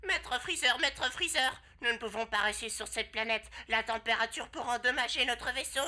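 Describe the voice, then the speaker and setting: A woman's voice: a little high, moderate pace, bright, forceful tone. A woman playing a subservient spaceship crew member, pleading urgently, in a French fan-made anime drama. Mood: pleading alarm.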